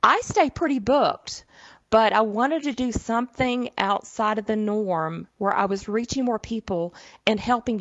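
A woman's voice: mid-range voice, no room sound. Chatty woman with a Southern twang. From southern United States. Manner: chatty, folksy, fillers like "you know". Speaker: podcast host, psychic medium. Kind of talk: casual solo monologue. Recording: dull, dry, slightly lo-fi.

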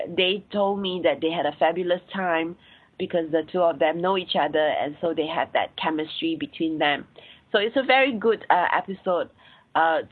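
Singaporean woman host, husky and tinny. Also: lowish voice. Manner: chatty, many "um" and "uh" fillers. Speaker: podcast host and sex coach. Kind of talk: solo podcast episode recap. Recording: tinny phone-like line.

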